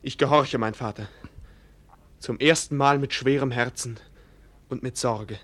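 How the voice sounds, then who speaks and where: Casting: man playing obedient young aristocrat, medium-pitched, earnest and formal, vintage radio drama. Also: fairly even intonation, a little slow, close to an everyday voice. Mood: obedient, subdued. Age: young adult. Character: dutiful, open-hearted young nobleman, obedient son. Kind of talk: 1940s German radio play acting.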